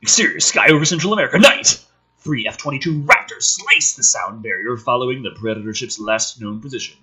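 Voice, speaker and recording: A man's voice: medium pitch, lively intonation, a little fast, sharp tone, slightly rough, poor audio. A man doing a dramatic script reading with sarcastic, sweary commentary, theatrical and exasperated in delivery. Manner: theatrical, exasperated, foul-mouthed. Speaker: host reading a film script draft with commentary.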